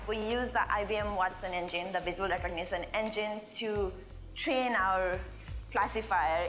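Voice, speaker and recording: Matter-of-fact woman over background music. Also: medium pitch. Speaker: team member in a project video. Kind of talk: explaining technical work in a video.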